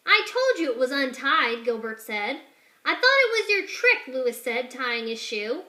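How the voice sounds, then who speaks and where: A woman's voice: a little slow, roomy sound. A woman reading a children's picture book aloud, changing her voice for the characters' lines. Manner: expressive, shifts pitch and loudness for each character.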